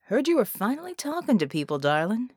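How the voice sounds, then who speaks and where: A woman's voice: a little high, very animated, fairly quick, breathy edge, dry recording, clean studio sound. A woman voicing a sly male shapeshifting impostor in an audiobook, suave, familiar and casually teasing. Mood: breezy, cocky.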